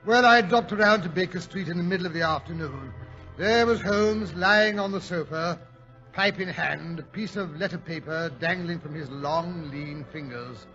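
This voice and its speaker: An actor playing a genial, fussy English gentleman storyteller in a 1940s radio drama, bumbling and chatty with little exclamations. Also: a little high, moderate pace, faint music behind. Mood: genial, reminiscing. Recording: dull 1940s radio recording.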